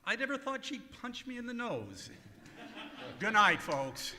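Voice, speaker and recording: Man acting a bright, deliberate deadpan comedian boss. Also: high-pitched, heavily acted. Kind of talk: old-time radio comedy acting on stage. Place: museum performance space, live before an audience. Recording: echoey hall, live stage performance.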